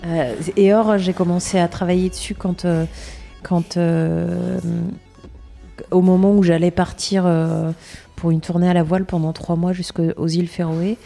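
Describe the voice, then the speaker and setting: Young woman, singer from Brittany; low, soft, warm tone; halting, searching speech. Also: flat delivery, gravelly, faint music behind. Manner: hesitant, many fillers and restarts. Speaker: singer being interviewed. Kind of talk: casual interview answers.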